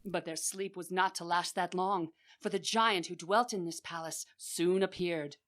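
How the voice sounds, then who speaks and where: A woman playing a storyteller, narrating an adventure tale in a measured, theatrical audio drama style. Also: lowish voice, steady tone, fairly bright tone. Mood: suspenseful. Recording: faint music behind voice.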